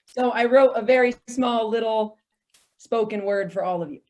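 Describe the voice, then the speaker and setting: A woman's voice: fairly high pitch, normal speed, a little mellow, low-quality recording. Bubbly woman reciting rhymes on a call. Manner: bubbly, laughs often. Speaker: panelist and spoken-word performer. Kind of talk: casual chat and rhymed spoken word. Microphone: home computer mic on a video call.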